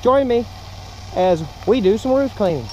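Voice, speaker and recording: A man's voice: very high-pitched, animated, normal speed, highs cut off. A middle-aged American man explaining his work in a casual, plainspoken way as he demonstrates it to camera. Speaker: roof cleaning contractor. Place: outdoors on a ladder at a roofline. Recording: outdoor action camera, wind and machinery noise.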